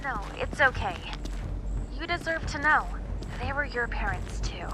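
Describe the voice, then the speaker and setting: A woman's voice: high-pitched, lively intonation, measured pace, over music. A woman voicing a young, informal researcher in a video game, explaining things in a casual, conversational, slangy way. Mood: somber, subdued. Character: young woman, informal, knowledgeable researcher confiding family secrets. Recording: loud background noise and ambience.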